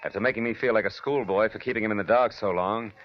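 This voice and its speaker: An actor playing a wry, weary private detective in a 1940s radio noir drama, with dry, deliberate, sardonic delivery. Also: male voice, fairly low pitch, highs cut off, lightly acted. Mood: reflective, tense. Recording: band-limited 1940s radio recording with music.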